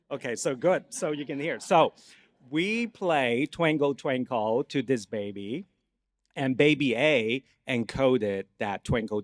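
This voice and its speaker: A man with a Cantonese accent, a native Cantonese speaker, giving an academic lecture and explaining things as he checks in with his audience. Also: medium pitch. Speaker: guest lecturer, neuroscience professor. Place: conference lecture hall.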